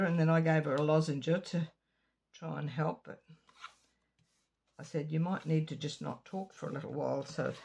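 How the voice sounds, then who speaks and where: A woman with a slightly croaky throat giving a chatty card-making tutorial, drifting into asides while she works. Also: sits low, darkish tone, a little hiss or hum. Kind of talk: casual craft tutorial. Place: home craft room.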